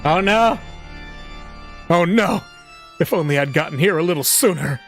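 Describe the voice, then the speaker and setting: A brash, impatient man with a high, strained voice that shoots well above the narrator's own, loud and pushy, as a male narrator puts him on. Faint music plays under the audiobook reading. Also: big pitch swings, brisk, full character voice. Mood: frantic.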